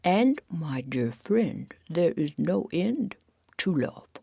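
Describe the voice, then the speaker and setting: A woman voicing a serene, reverent female animal sage in a storybook, speaking slowly and meditatively. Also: on the low side, very animated, vocal fry, dark tone, husky, dry recording, clean studio sound, heavily acted.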